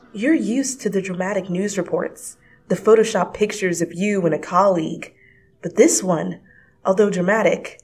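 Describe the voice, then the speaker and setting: A woman giving a dramatic second-person story reading, swinging from hushed whispers to breathless, excited rises. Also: medium pitch. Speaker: fanfiction reader.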